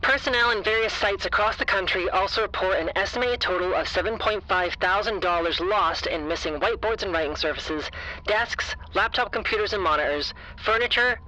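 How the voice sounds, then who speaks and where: A man's voice: high-pitched, normal speed, bright, forceful tone, poor audio. An actor playing an impersonal male official who reads out an incident report in an audio drama, formal, clipped and detached. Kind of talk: scripted bulletin in an audio drama.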